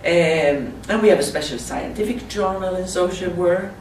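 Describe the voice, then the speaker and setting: A Swedish woman with a Swedish accent, speaking halting non-native English in a slide presentation at a conference. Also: fairly low pitch, a little mellow. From Sweden. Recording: roomy, distant mic with faint hiss.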